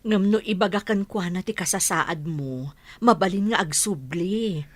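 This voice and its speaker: A woman's voice: fairly low pitch, measured pace, no echo. A woman playing a concerned, persuasive village auntie in a radio drama, earnest and urging.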